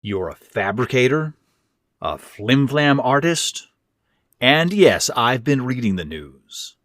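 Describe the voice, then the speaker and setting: A man acting a bitter, rasping old millionaire. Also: mid-range voice, very animated, takes it slowly, a bit bright, no echo, clean studio sound, pitched above the performer's own voice. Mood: scornful. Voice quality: hoarse, rasping. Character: frail, bitter, wealthy old stepfather on oxygen, scornful.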